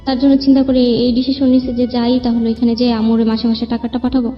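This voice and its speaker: A young Bangladeshi woman recounts her own troubles as a show guest in a plaintive, rambling way. Her voice is fairly high and carries a long reverb. Soft music plays underneath. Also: little pitch movement, quick pace, muffled recording. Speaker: guest telling own life story. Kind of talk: personal spoken account. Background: background music.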